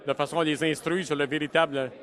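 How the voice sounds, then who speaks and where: A man with a Quebec French accent shares his opinion as an audience member. His voice is fairly high, and he phrases his remarks with care. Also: steady tone, fast talker, fairly bright tone.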